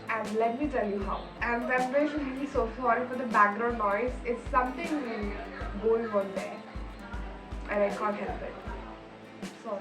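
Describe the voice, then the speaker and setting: A young woman with an Indian English accent and Malayalam as her first language, teaching English in an earnest, repetitive way, her voice slightly cracky from a cold. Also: on the high side. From Kerala, India. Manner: earnest, repeats herself, apologetic. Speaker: English teacher, channel host. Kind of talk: instructional vlog talk. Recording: roomy, distant mic, music underneath.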